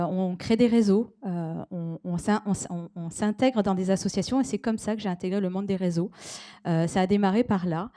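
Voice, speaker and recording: A woman from the Paris region introducing herself as an entrepreneur on a panel, halting with many fillers. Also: mid-range voice, dark tone. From Paris region, France. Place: conference stage.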